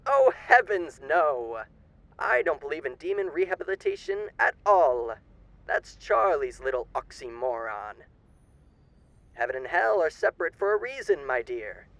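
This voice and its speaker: A man's voice: a little high, sing-song, measured pace, vocal fry, a bit bright, dry recording, heavily acted. A man playing a suave, sinister demon with a theatrical, condescending manner and old-fashioned genteel endearments in a roleplay monologue. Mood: gleefully cynical.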